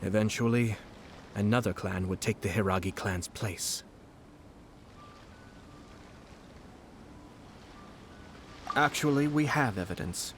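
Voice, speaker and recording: Man as low-voiced shrewd composed nobleman. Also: slight background noise, lightly acted. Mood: thoughtful, assured. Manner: measured, formal, deliberate. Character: composed, shrewd aristocratic male commissioner, calmly calculating. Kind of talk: video game character acting.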